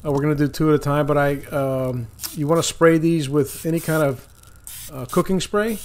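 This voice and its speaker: A man's voice: fairly high pitch, moderate pace. A man hosting a casual cooking video outdoors by a lake, talking loosely while he cooks, correcting himself and chuckling at small mistakes. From Florida. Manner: relaxed, rambling, self-correcting, chuckles at mistakes. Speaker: cooking channel host. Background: sizzling food on a griddle.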